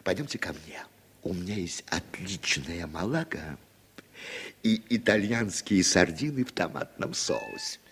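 An actor playing a worldly, pragmatic journalist in his late thirties, husky and persuasive, in Soviet radio drama style. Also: low voice, huge pitch swings, a little slow, a bit bright, exaggerated voice. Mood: breezy. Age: 30s.

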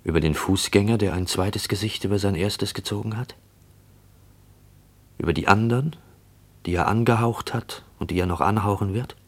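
A low male voice tells a radio play from the inside. An actor plays a haunted, watchful man, brooding and reflective as he narrates his thoughts. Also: moderate pace, long pauses, lightly acted. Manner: brooding, reflective inner monologue.

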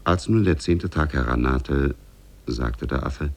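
A man narrating a fairy tale in a measured, formal way for 1950s German radio. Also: a bass voice.

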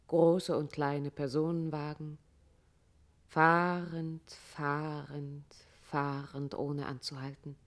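An actress playing a grave, poetic narrator, reciting literary radio play text in a measured, solemn way. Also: female voice, low-pitched. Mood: solemn, evocative. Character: grave, poetic female narrator evoking roads and places.

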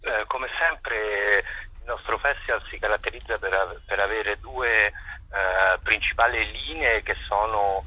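Deep, husky man on the phone. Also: bright, forceful tone, no echo, low-quality recording. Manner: halting, searching for words, with many hesitations. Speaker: artistic director of a theatre festival, interviewee. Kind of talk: interview answers, explanatory. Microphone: telephone. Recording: phone or radio line.

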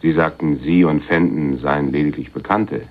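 An actor playing a menacing, coolly businesslike man in a German radio crime drama, formal, deliberate and drily sardonic. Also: rumbling low, fairly even intonation. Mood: probing, businesslike. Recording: dull, muffled radio recording.

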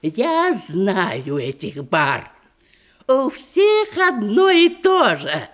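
An older actress playing a grumbling, thrifty old widowed aunt in a radio verse comedy, querulous, emphatic and theatrical. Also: female voice, mid-range voice, wildly swooping pitch, full character voice. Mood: indignant, scornful. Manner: querulous, drawn-out, emphatic delivery.

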